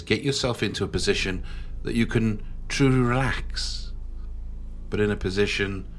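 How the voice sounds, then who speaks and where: Male meditation guide, deep voice, soothing and unhurried, leaving long pauses, soft music underneath. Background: background music.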